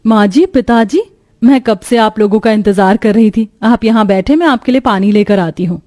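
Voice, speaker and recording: A woman's voice: medium pitch, moderate pace, dark tone, lightly acted. A woman playing a young, devoted and respectful village daughter-in-law in a cartoon story, speaking in a caring, deferential way. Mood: dutiful, welcoming. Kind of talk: cartoon moral story dialogue.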